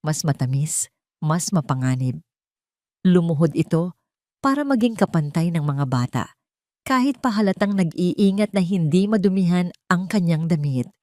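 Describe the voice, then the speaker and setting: Low-voiced woman narrating a story. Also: animated, moderate pace, darkish tone, no echo, clean studio sound. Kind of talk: scripted dramatic story narration.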